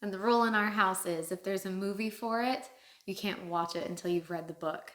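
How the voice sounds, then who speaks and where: A woman's voice: mid-range voice, a little fast, a bit bright, echoey room. A woman co-hosting a vlog, talking in a casual, chatty way. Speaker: vlog co-host. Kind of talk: casual chat.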